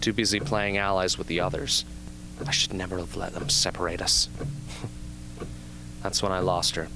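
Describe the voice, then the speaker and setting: A man playing a young, haunted but earnest arena survivor with intense, deliberate delivery in an amateur radio drama. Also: sits low, level tone, gravelly, over music, poor audio. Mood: haunted, reflective. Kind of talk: amateur radio drama acting, interview scene.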